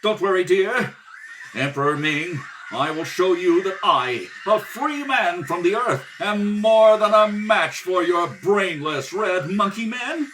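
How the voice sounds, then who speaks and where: Man as bright, swooping young space hero. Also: high-pitched, expressive, slow pace, slight background noise, full character voice. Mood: defiant. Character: brave young earthman adventure hero, defiant and earnest. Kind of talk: old-time radio serial acting. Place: home kitchen table. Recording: distant home kitchen-table rehearsal recording.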